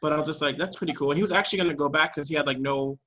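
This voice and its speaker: A man's voice: on the high side, rapid-fire, sharp tone. A man chatting casually in a loose, rambling way, peppering his sentences with "like". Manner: loose, rambling, heavy use of "like". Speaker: cast member of a radio play podcast. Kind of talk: casual chat.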